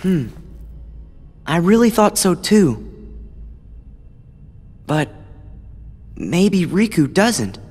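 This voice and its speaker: A man's voice: sits high, very animated, very slow, pauses often, darkish tone, slightly rough, big-room sound, faint music behind, heavily acted. A male actor playing an earnest, stubborn teenage boy hero in a video game cutscene. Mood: troubled.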